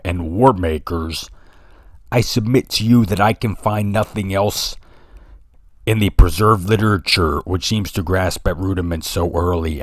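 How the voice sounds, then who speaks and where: A man with a very low voice and a noticeable regional accent, reading his own philosophy essay aloud. He speaks deliberately and rhetorically, often turning to address his listeners. The sound is close and dry, with no room around it. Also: no room sound.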